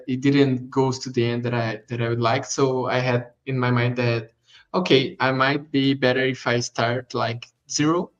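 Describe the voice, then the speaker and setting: Slow halting man, Portuguese accent. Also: medium pitch, fairly bright tone. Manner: halting, many fillers and restarts. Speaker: presenter at demo day. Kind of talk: nervous project pitch. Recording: dull lo-fi sound.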